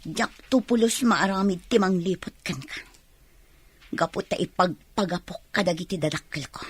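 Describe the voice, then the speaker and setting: A woman's voice: lowish voice, normal speed, slightly rough, no echo. A woman with an Ilocano Filipino accent playing a defiant young wife with secret plans in a radio drama. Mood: defensive.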